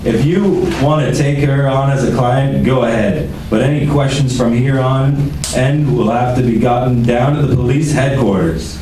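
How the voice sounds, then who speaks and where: A man's voice: medium pitch, moderate pace, few pauses, warm tone, lightly acted. A man playing an irritable, blustering, sarcastic police lieutenant in an amateur radio play. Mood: exasperated, blustering. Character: exasperated, grumbling male police lieutenant. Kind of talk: amateur 1940s-style detective radio play acting. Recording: very echoey room, distant mic, clear noise.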